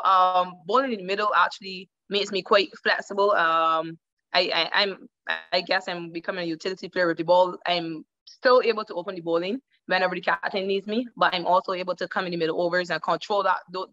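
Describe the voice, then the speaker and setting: A woman's voice: on the low side, pushed and bright. A woman cricketer from Barbados in her thirties, answering interview questions over a video call, thoughtful and repeating words as she searches. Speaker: cricketer guest. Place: indoor room at home. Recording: dull lo-fi call audio.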